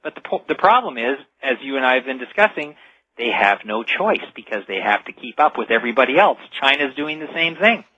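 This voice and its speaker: A man's voice: mid-range voice, sing-song, brisk, bright, forceful tone, breathy edge, no echo. A man speaking as an expert guest in a radio interview, explaining in a conversational way. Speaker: talk radio guest, futurist. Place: radio call-in show. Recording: dull lo-fi radio broadcast.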